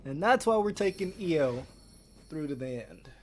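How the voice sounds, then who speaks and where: A man's voice: on the high side, very animated, moderate pace, a little mellow, slight background noise. A man giving casual commentary on a game as he live streams it. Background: game music.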